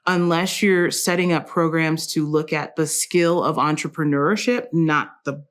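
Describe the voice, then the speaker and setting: Woman guest with a lowish voice, chatty storyteller, acts out little quotes. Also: fairly even intonation, unhurried. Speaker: interview guest, entrepreneur. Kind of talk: casual chat, anecdotal.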